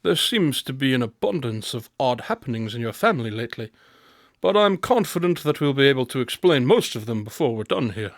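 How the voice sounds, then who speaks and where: An actor playing a shrewd, composed detective in an audio drama, speaking in a measured, analytical and assured way. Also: male voice, mid-range voice, big pitch swings, no room sound, studio quality.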